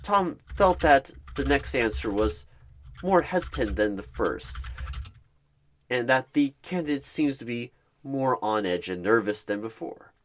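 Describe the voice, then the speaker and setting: Male narrator, medium pitch, wide intonation, deliberate and halting, third-person roleplay storytelling. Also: animated, slight background noise.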